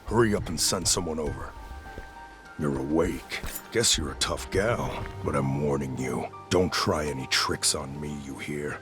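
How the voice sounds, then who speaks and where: Extremely deep, gruff male kidnapper. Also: unhurried. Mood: threatening. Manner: menacing, curt. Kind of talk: video game voice acting. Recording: music behind the voice.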